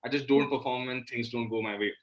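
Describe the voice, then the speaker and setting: A man's voice: medium pitch, steady tone, quick pace, poor audio. A man from Delhi with an Indian accent, talking casually as an interview guest, earnest and repeating words for emphasis. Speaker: interview guest, musician and guitar teacher.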